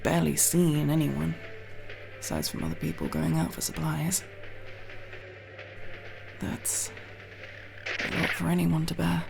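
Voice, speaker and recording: A young woman playing a guarded but tender tomboy friend in an ASMR roleplay, speaking intimately and haltingly, with sincere, teasing, casually sweary delivery. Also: low voice, very animated, gravelly, over music, exaggerated voice. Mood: gentle concern. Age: young adult. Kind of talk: ASMR roleplay, intimate one-sided dialogue.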